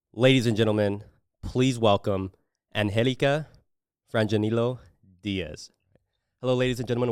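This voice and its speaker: A man hosting a podcast, talking in a relaxed, rambling way with many fillers and restarts. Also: fairly low pitch, clear, resonant tone, no echo, clean studio sound. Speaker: podcast host. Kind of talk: casual podcast interview.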